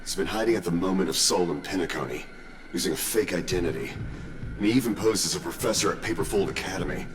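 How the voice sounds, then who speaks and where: An actor playing a middle-aged, world-weary detective in a video game, terse, drawling and quietly self-satisfied. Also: male voice, low voice, fast, lightly acted. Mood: weary triumph. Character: weary, gruff veteran male detective, dogged and self-satisfied. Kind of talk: game cutscene dialogue. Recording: echoing room, music behind.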